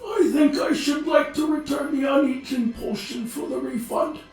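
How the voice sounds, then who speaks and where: Foolish, stubborn comic adventurer, played by a man: pompous, complaining, pitched up, heavy echo. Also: normal speed, darkish tone, far from the mic. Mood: grumpy. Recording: very echoey, music underneath.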